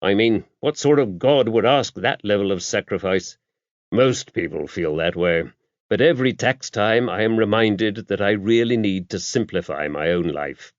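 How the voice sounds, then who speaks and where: A man voicing a fastidious, wry investigator in audiobook dialogue, speaking in an emphatic, exclamatory way. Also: on the low side, animated, dry recording, natural voice. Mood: wry, incredulous. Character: Cotton, fastidious male investigator, incredulous and wry. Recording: dry, dull lo-fi audio.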